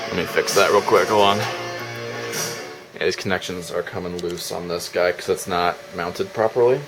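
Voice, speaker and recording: A man's voice: sits low, steady tone, normal speed, music in the background. A man giving a casual, off-the-cuff demo as a DIY builder, thinking aloud as he handles his gear.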